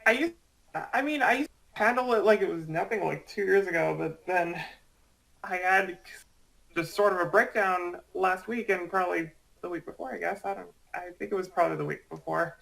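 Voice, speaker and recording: A woman's voice: lowish voice, moderate pace, creaky, fairly bright tone, poor audio. A woman chatting casually, hesitant and apologetic, often stammering over the words. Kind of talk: casual chat.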